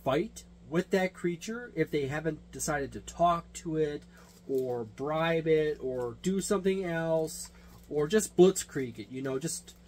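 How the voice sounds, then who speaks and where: A man's voice: a little high, a little slow, a little mellow, rough recording. A man giving a casual, unscripted hobby review and explanation, rambling and correcting himself with emphatic delivery. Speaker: tabletop gaming reviewer and game master. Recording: noticeable background noise with hum.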